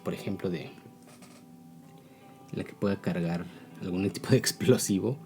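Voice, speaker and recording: A man's voice: deep voice, darkish tone. A man with a Mexican accent giving a casual, explanatory opinion talk as a video blogger, often checking in with tag questions.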